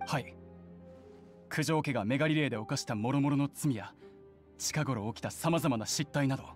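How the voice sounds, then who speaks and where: An actor playing an earnest young male clan heir in an anime game, speaking politely, formally and deliberately. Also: mid-range voice, faint music behind, natural voice. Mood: earnest, accusing. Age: young adult. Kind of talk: anime game character acting.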